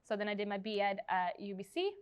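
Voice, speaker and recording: A woman in her twenties from Canada talks through an oral history interview in an upbeat, rambling way, full of "like" and "um". Her voice is smooth and crisp. It is recorded dry and close, with no room sound. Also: mid-range voice, fast talker, no room sound. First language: Punjabi. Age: 20s. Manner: chatty, upbeat, lots of fillers and restarts. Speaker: interviewee, schoolteacher.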